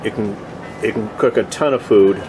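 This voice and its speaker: A man giving a casual video tour in short, halting, plainspoken phrases, recorded outdoors on a boat deck with a handheld camera. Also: lowish voice, over music. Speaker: host of a cooking vlog. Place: open deck of a boat on the water. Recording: outdoor ambient noise.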